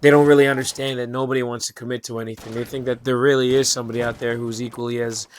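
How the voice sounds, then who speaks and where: Young man, 20s, hoarse, raspy, rambling monologue, lots of fillers, casual swearing, middle pitch. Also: level tone, rapid-fire, slight background noise. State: hoarse from losing his voice. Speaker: channel host. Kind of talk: late-night personal monologue.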